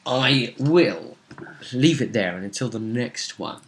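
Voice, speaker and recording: A man's voice: mid-range voice, expressive, slow pace. A man acting as game master and host, casually recapping a role-playing session in a storytelling way that teases suspense.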